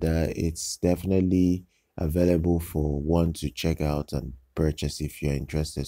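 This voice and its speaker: A man giving an unscripted product news commentary as a channel host, halting and hesitant, often repeating words. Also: rumbling low, warm tone, no echo, clean studio sound. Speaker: gadget review channel host.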